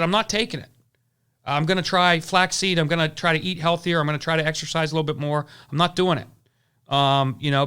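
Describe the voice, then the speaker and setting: Bright-voiced man in his forties, rambling. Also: a little high, smooth. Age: 40s. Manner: rambling, repeats words and restarts often. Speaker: insurance agency owner. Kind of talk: casual advice talk.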